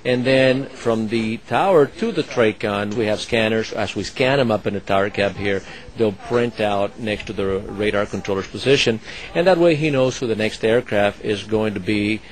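A man who supervises air traffic controllers and flies, explaining the work in a halting way, with many fillers and restarts. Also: lowish voice, poor audio. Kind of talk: explaining his work to an interviewer.

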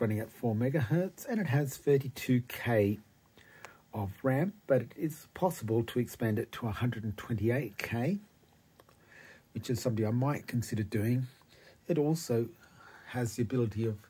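A middle-aged Australian man giving a casual, unscripted hands-on demo in halting phrases, recorded on a handheld phone indoors. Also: medium pitch, warm tone, breathy edge. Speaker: retro computer hobbyist presenter. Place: small cluttered room indoors.

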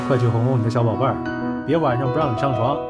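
Sneering, sharp-tongued man played by an actor in audio drama. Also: mid-range voice, fairly quick, loud music behind the voice, natural voice. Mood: sarcastic. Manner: sneering, blunt, sarcastic. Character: cynical, sharp-tongued male talent manager, mocking and scornful. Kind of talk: Chinese audio drama acting.

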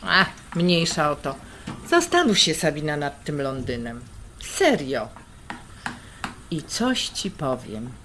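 A woman playing a brisk, teasing, worldly middle-aged sister in a naturalistic radio drama, blunt and bossy. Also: low-pitched, big pitch swings, fairly bright tone, exaggerated voice. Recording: clear kitchen noise and clatter. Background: cutlery, stirring, pots and pans.

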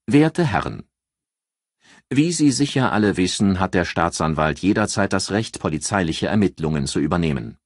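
A man voicing a jovial yet menacing state prosecutor in an audiobook, speaking formally and pompously with deliberate emphasis. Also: sits low, animated, slightly rough, no echo, clean studio sound, exaggerated voice. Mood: jovial, pointed. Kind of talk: audiobook character dialogue.

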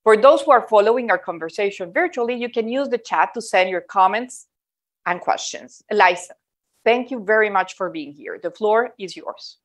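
Female moderator, formal scripted intro, studio setting. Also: mid-range voice. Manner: formal, measured. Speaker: host, moderator.

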